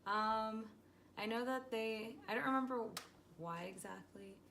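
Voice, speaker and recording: A woman's voice: a little high, measured pace, vocal fry, a bit bright, a little hiss or hum. A young woman from California, a student answering interview questions while thinking aloud and sounding unsure. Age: 20s.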